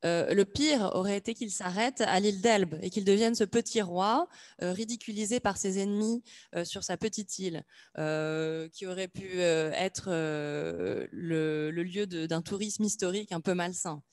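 A woman interpreting aloud in a measured way, pausing between phrases as she renders them. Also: on the low side, darkish tone, no room sound, studio quality. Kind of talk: consecutive interpreting, unscripted.

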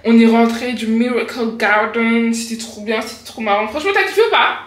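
A woman's voice: on the high side, a little fast. Young woman vlogging, slangy and chatty. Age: 20s. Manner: chatty slangy asides to camera. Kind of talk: casual vlog chat. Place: flower garden outdoors and hotel room. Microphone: handheld camera at arm's length. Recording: echoey with crowd and noise behind.

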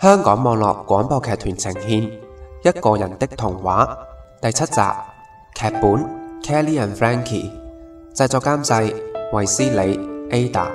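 A man's voice: lowish voice, distant mic. A man reading scripted credits and promotional announcements as an announcer.

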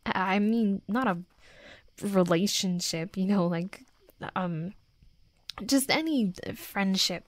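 A woman playing a hot-headed, flustered young female werewolf, a softening bully, in an intimate roleplay audio drama, speaking haltingly and defensively. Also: mid-range voice, no room sound, lightly acted. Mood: flustered, hesitant. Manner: halting, defensive, teasing, often dropping to soft murmurs.

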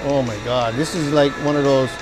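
A man's voice: mid-range voice, steady tone, a little fast, music in the background, low-quality recording. A man in his forties, a chef demonstrating dishes in a restaurant kitchen and hyping the food to his viewers. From Hawaii. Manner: upbeat, hypes the food to viewers. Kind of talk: cooking demonstration.

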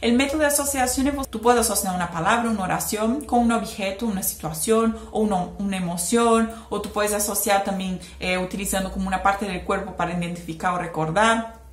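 A woman's voice: medium pitch, fairly quick. A young woman from Brazil, speaking with a Brazilian Portuguese accent, explains language-learning tips in a chatty, casual vlog with many "eh" fillers. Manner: chatty, explains with hand gestures, frequent "eh" fillers. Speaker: language vlogger. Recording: echoey room.

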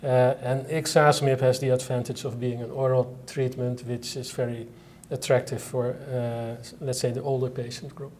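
A middle-aged man with a Dutch accent, speaking as a medical expert in a panel interview with measured, hesitant pauses. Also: mid-range voice, little pitch movement, a little mellow, slight background noise. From Netherlands. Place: indoor conference interview set.

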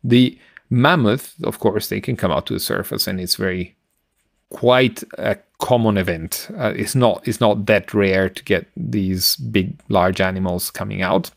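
A middle-aged man explaining science informally as a podcast co-host, halting as he searches for words. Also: fairly low pitch, vocal fry, dry recording, clean studio sound.

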